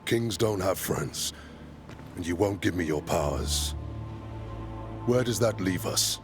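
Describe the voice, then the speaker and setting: An actor playing a lonely, brooding prince in a game, solemn and gravelly. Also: male voice, low-pitched, lively intonation, takes it slowly, heavily acted. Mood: cold, bitter. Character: lonely, brooding adult prince burdened by kingship. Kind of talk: dramatic video game acting. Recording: music behind the voice. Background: music.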